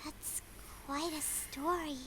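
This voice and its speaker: Small curious sidekick fairy for a game, extremely high childlike voice, slight background noise. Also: female voice, steady tone, takes it slowly, fairly bright tone. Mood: concerned, subdued. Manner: childlike, squeaky. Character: tiny childlike floating fairy companion, curious and earnest. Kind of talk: video game voice acting.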